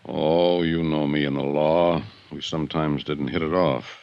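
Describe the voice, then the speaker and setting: An actor playing a self-contained, sardonic ex-convict in a 1950s radio drama, laconic and deadpan. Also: male voice, very deep voice, a little slow. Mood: deadpan, evasive. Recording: dull vintage 1950s radio recording.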